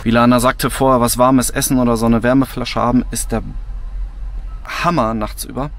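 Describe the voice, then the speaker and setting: A man in his thirties hosts a casual vlog from in and around a camper van. His voice sits at a medium pitch. He talks colloquially and with enthusiasm into a camera held at arm's length. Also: fairly bright tone, dry recording. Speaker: vlogger, host. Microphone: handheld camera at arm's length.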